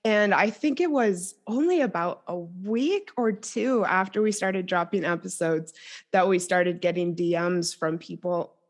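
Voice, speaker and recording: A woman's voice: fairly low pitch, measured pace. A woman speaking as a coach and podcast guest, explaining her approach conversationally and thoughtfully.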